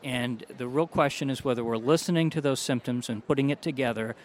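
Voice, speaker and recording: A man, a doctor, answering interview questions about a study in a measured, explanatory way. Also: mid-range voice, slight background noise. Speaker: doctor, allergy specialist.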